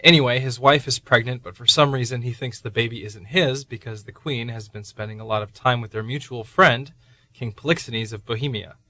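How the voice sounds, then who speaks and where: A man's voice: mid-range voice, brisk, pushed and bright, no room sound. A man playing Bear, a wise, welcoming husband who writes plays, in an easygoing, rambling manner. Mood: calm, wry. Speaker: cottage host and husband. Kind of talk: amateur radio play.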